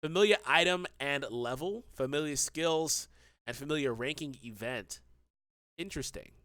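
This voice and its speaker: Excitable man with a stuffy cold. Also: fairly high pitch, normal speed, dry recording, clean studio sound. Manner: excitable, rambling with frequent fillers and restarts. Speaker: gaming channel host. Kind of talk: casual gaming news commentary.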